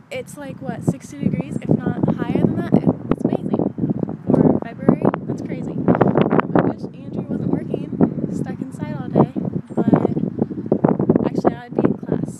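A woman in her twenties chatting casually to a handheld camera, rambling and giggly. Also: sits high. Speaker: vlogger. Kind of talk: casual vlog chat. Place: car, then home kitchen. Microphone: handheld camera at arm's length. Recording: noisy handheld camera audio.

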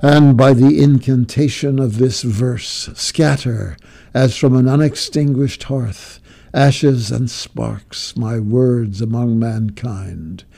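Man, poetry reading, very slow, mellow dark tone, grave and declamatory. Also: mid-range voice, steady tone, vocal fry. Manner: slow, grave and declamatory, swelling and falling to a hush. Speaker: poetry reader. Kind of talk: dramatic poetry recitation.

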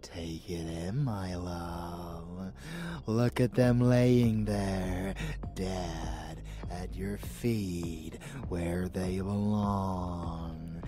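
Sadistic vampire boyfriend for asmr roleplay, super slow theatrical delivery, music behind him. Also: male voice, deep voice. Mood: adoring, intimate. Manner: theatrical, drawn-out, adoring and menacing. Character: rebellious murderous male vampire lover, gleefully sadistic and possessive. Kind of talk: ASMR roleplay audio drama.

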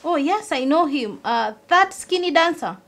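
Woman acting high-pitched, concerned student. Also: expressive, normal speed, a bit bright. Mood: surprised, concerned. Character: curious, concerned young female student, loyal friend. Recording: clearly noisy background with clicks.

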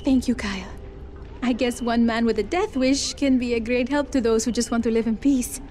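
Mellow, sincere actress as young woman. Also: on the high side, normal speed, a little hiss or hum, natural voice. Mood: grateful. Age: young adult. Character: sincere young woman villager, daughter of the leader. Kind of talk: video game acting.

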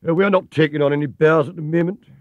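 A man's voice: mid-range voice, sing-song, normal speed, darkish tone, no echo, dull audio. A man voicing a sarcastic, stern uniformed gatekeeper. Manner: sarcastic and sneering.

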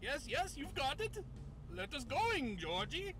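A sing-song Swedish tourist. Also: male voice, sing-song, a little slow, exaggerated voice. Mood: cheerful. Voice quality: high, sing-song, broken English. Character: cheerful male Swedish tourist passenger. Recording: in-game audio with music.

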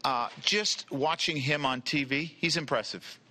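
A man in his forties, a baseball manager, answering reporters at a press conference table mic, measured and often repeating words as he starts. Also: on the high side, pushed and bright. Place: press room in front of a team backdrop. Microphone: table mic with a logo flag.

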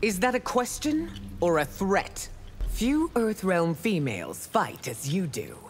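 A woman's voice: on the low side, sing-song, slow. A woman voicing a stern, haughty empress in a fighting game, regal and commanding. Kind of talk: video game fight dialogue.

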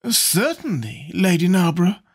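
A man voicing a witty, cynical aristocratic gentleman with a languid drawl. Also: high voice, sing-song, normal speed, slightly rough, pitched above the performer's own voice, exaggerated voice.

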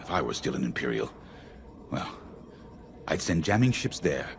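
A man playing a commanding rebel officer in a video game, giving a measured, authoritative mission briefing. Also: very deep voice, very animated, heavily acted. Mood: commanding, serious. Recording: faint background noise.

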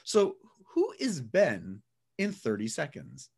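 Male radio host, animated pitch, chatty and curious with guests. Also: slow pace, slightly rough. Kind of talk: interview hosting.

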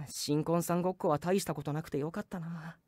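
A woman's voice: sits low, normal speed, darkish tone, husky, long reverb tail. A woman voicing a teasing, easily flustered teenage boy who plays doting young husband in an anime romantic comedy drama. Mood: dismissive, exasperated. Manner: teasing, exasperated.

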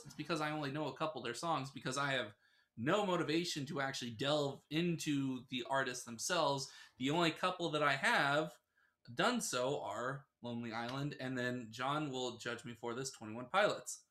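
A man's voice: mid-range voice, moderate pace, sharp tone. A man chatting casually as a panelist on a podcast. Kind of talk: casual chat. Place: small home studio room.

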